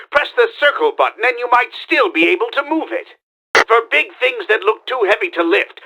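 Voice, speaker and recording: An eager video game sidekick, with a very high voice and a lively, swooping delivery. The tone is bright and forceful. Each instruction comes out clearly and helpfully, like a cartoon companion coaching the player. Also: male voice, heavily acted, rough recording. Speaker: in-game tutorial guide character. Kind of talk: scripted video game tutorial voice lines.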